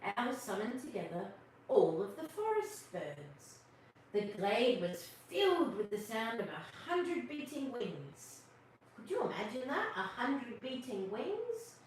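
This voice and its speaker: A woman reading a picture book aloud to children as host, with expressive narration and asides to young listeners. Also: fairly high pitch, slow pace. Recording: distant, very echoey room, faint noise.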